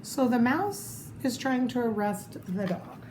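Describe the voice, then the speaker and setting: Slow, high-voiced woman reading a storybook. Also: animated. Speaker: storybook reader. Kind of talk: expressive children's read-aloud with asides. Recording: roomy echo, clear background noise.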